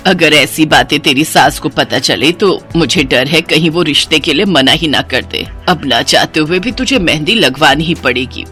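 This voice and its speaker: A woman's voice: low-pitched, bright, forceful tone, voice pushed down. A woman voicing a concerned, practical middle-aged mother of a bride in a cartoon story.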